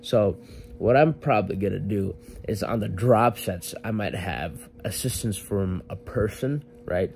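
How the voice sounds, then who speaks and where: A man's voice: on the low side, a little slow, vocal fry, a little mellow. Rambling laid-back young gym vlogger man. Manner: rambling, filler-heavy, self-correcting. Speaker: fitness vlogger. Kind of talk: casual vlog talk to camera.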